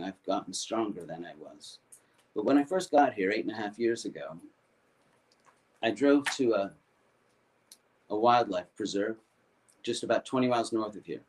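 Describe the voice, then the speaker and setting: An older American man telling a personal story in a halting way, often repeating and restarting his words. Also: on the low side. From United States. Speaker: foundation founder.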